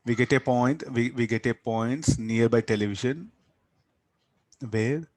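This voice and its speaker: A man with a South Indian accent lectures as a physics teacher, in a medium-pitched voice. He often repeats his words and ends sentences with little questioning tags to draw students in. The sound is dry, with hardly any room echo. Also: unhurried. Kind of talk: interactive classroom lecture.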